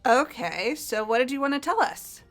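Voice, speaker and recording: A woman's voice: high voice, expressive, moderate pace, fairly bright tone, faint music behind. A woman voicing an upbeat, blunt, teasing teenage girl in a game playthrough. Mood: upbeat, direct. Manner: direct, teasing. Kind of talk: voiced visual novel game playthrough.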